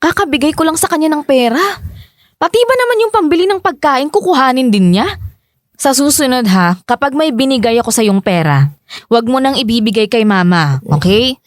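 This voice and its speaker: An actress playing a caring young breadwinner sister who scolds with exasperation in a radio drama. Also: female voice, high voice, animated, fairly quick, dry recording. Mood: exasperated. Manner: scolding yet caring, sighing exclamations. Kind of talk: radio drama dialogue acting. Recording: close dry studio, music underneath.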